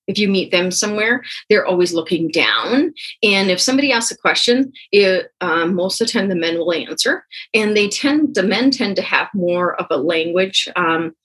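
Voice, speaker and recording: A woman whose first language is Pennsylvania Dutch, answering interview questions hesitantly with many pauses and restarts. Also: medium pitch, fairly bright tone, husky, poor audio. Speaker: guest, co-author.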